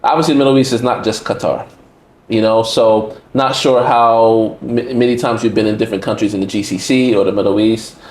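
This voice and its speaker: A man's voice: on the low side, steady tone, fairly quick, a little mellow. An American man hosting a conversational interview, retelling remarks by acting out quotes. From United States. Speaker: podcast host. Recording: roomy echo.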